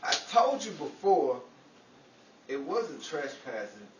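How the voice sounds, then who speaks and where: Man playing excitable, high-pitched blustering radio husband. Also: takes it slowly, highs cut off. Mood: sheepish, wheedling. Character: vain, cheap, scheming celebrity-obsessed husband. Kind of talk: 1940s old-time radio comedy acting. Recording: echoey, distant, noisy with crowd ambience.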